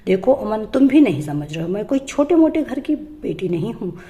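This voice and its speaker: A woman's voice: mid-range voice, big pitch swings, normal speed, dark tone, lightly acted. A woman storyteller voicing a haughty, hot-tempered young rich wife who boasts and accuses. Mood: boastful, irritated.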